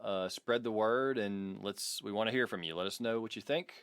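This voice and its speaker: A man's voice: on the low side, moderate pace. A man co-hosting a podcast in casual, joking conversation. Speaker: podcast co-host. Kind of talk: casual comedic podcast chat.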